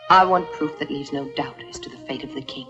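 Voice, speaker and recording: A woman's voice: low voice, brisk, lightly acted. An actress dubbing a guarded, dignified queen in a period film, restrained and formal. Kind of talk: dubbed period film acting. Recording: muffled dub audio, music behind.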